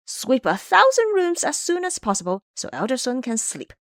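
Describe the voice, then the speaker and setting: A woman's voice: high voice, wildly swooping pitch, moderate pace, no room sound, studio quality, voice pushed up, full character voice. A woman voicing an aggressive, boastful monkey warrior monk in an audio drama, threatening and commanding. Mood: menacing, demanding.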